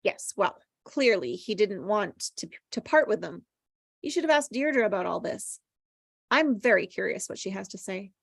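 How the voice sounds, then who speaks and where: A woman's voice: mid-range voice, animated, normal speed, gravelly, slightly rough, dry recording, clean studio sound. A woman playing a plucky, quirky and defensive young woman at a script table read. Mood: matter-of-fact, defensive.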